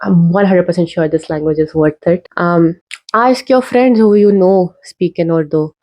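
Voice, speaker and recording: A young woman with an Indian English accent makes an earnest, casual video essay to camera, with fillers and pauses as she urges viewers. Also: on the low side, dark tone. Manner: earnest, persuasive, many fillers. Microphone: talking to camera.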